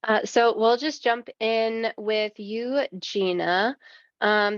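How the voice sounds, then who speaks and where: A woman's voice: mid-range voice, dry recording. Woman moderator on a dry, dull call. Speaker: panel moderator. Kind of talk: moderating a video call panel. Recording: dry, muffled video call audio.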